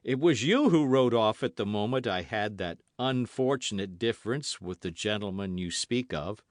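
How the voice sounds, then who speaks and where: A man's voice: lowish voice, animated, normal speed, no room sound, clean studio sound, lightly acted. A man reading aloud, voicing a frightened innkeeper who pleads pitifully for mercy. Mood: pleading. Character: trembling, pleading middle-aged male innkeeper.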